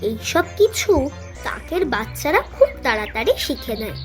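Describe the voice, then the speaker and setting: Female narrator for a kids' cartoon tale, high-pitched and lively, music underneath. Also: normal speed. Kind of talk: scripted children's story narration.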